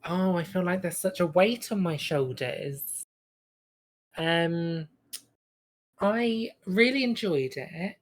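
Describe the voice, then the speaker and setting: Female podcast co-host, low voice, slow and hesitant, giggly, casual review chat.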